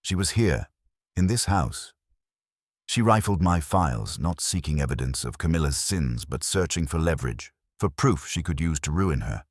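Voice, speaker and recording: A man voicing a stern, imperious detective who speaks formally and deliberately in an audiobook mystery. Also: extremely low pitch, lively intonation, no room sound, clean studio sound. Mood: coldly accusing. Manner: formal, deliberate, pronouncing judgment. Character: stern, imperious male genius detective, coldly accusing.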